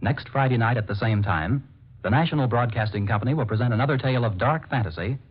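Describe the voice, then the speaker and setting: An American man working as a radio announcer, reading scripted copy in a formal, measured 1940s style. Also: medium pitch, husky. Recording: dull lo-fi vintage radio.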